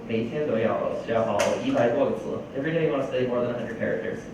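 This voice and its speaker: A man's voice: medium pitch, rapid-fire, dull audio. A young man from New Jersey giving a personal talk, telling stories and switching between English and Mandarin. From New Jersey, United States. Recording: very echoey hall, distant mic, noisy.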